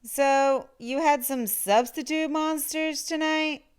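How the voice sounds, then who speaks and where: A woman's voice: sits high, fairly even intonation, takes it slowly, voice pushed up. A woman voicing a friendly, hungry male monster who growls and teases with playful menace. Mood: playfully ominous. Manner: growling, teasing.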